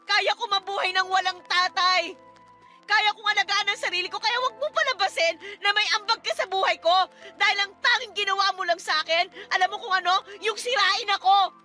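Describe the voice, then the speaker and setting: A woman's voice: very high-pitched, fairly even intonation, brisk, pushed and bright. A woman playing a defiant, scornful young housemaid bent on revenge in an emotionally charged radio drama. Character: bitter, vengeful young housemaid confronting her abusive stepfather. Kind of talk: Tagalog radio drama acting. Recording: music bed under the voice.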